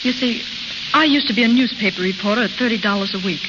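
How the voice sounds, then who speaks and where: A woman's voice: fairly high pitch, fast, lightly acted. An actress playing a composed, earnest and firm woman private detective in a 1930s radio drama. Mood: earnest, pleading. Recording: dull, very hissy, crackling 1930s radio broadcast.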